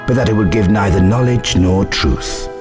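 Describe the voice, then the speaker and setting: An actor playing the storybook narrator of a fantasy game, reading scripted prose in a dramatic, literary way. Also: male voice, lowish voice, moderate pace, lightly acted. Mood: storytelling. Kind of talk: scripted narration with acted quotes. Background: music.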